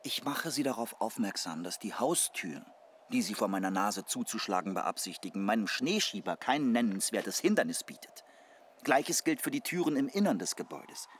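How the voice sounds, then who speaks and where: Low-voiced, pompously polite intimidator. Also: male voice, big pitch swings, moderate pace, slight background noise. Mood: courteous menace. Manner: stilted, over-formal, menacingly courteous. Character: pompous aggrieved caller, politely threatening with a snow shovel. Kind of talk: absurd comic radio drama acting.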